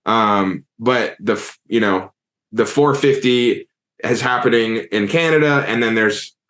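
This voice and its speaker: A man hosting a casual podcast, loose and excited, with lots of likes and you-knows. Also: medium pitch. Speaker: podcast host.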